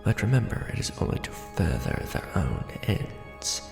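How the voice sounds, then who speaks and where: A deeply gravelly, courtly vampire lord acted out by a man murmurs in a theatrical ASMR roleplay. His low delivery is formal, deliberate and breathy, with a brooding, possessive air. The voice sits in a roomy echo with music playing behind it. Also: sing-song, heavily acted. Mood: solemn, assured. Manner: formal, hushed, deliberate, theatrical aristocratic address.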